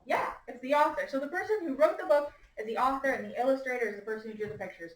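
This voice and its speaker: Female primary teacher for read-aloud, patient and instructive, slightly high pitch, recorded at a distance in an echoey room. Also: very fast. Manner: patient, prompting with questions and gentle instructions. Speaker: teacher reading aloud to young children. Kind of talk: interactive picture-book read-aloud to a class. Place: echoey indoor room with young children.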